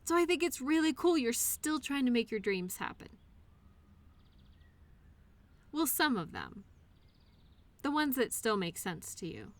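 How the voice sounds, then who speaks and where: A woman playing a loyal childhood imaginary friend in an audio roleplay, speaking in an earnest, reassuring, gently encouraging way. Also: high voice, sing-song, normal speed. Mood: sympathetic, encouraging. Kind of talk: one-sided audio roleplay, intimate scripted conversation.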